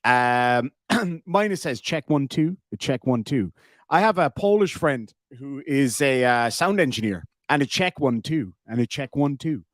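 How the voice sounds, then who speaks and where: A man's voice: mid-range voice, animated, measured pace, no echo, studio quality. A man with an Irish accent hosting a live stream, joking sarcastically and reading out viewer messages. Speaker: livestream host reacting to videos. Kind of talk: casual live commentary.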